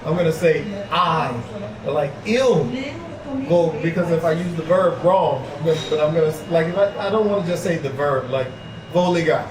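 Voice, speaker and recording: A middle-aged man from the United States, chatting casually as an interview guest, animated and full of fillers. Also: on the high side, moderate pace. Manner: animated storyteller, repeats fillers like "like". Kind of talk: casual chat. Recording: echoey room with background noise.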